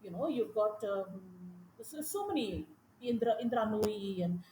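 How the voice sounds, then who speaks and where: A woman with an Indian English accent, a teacher speaking as a podcast guest, talking in an animated, emphatic way and often repeating her points. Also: mid-range voice, measured pace, slight background noise. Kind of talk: conversational interview. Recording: echoey room with faint ambience.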